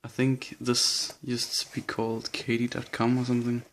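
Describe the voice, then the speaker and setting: Halting young Danish man, gravelly. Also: medium pitch, completely flat delivery, a bit bright, slight background noise. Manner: hesitant, halting listing with nervous laughs. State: nervous and shaky. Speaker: vlogger. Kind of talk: casual bookshelf tour.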